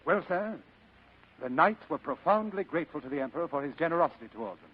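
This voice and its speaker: Husky fat crime boss, a bit high, pompous n super courteous, muffled old radio. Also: male voice, lively intonation, fast talker. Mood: grand, savoring. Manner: florid, chuckling, pompous, ornately courteous. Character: genteel, jovial, scheming fat older crime boss. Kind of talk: 1940s-style radio acting.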